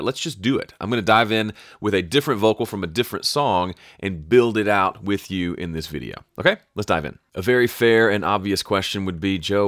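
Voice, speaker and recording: A man's voice: sits low, a bit bright, no room sound, clean studio sound. A man hosting an audio production tutorial in casual, conversational talk, thinking aloud as he goes.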